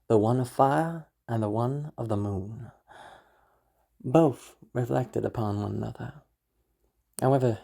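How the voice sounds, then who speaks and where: Woman performing a very low, courteous storytelling machine. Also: creaky, warm tone, exaggerated voice. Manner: measured, courteous, theatrical. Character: formal magical storytelling machine that glitches into menace. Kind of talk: scripted narration.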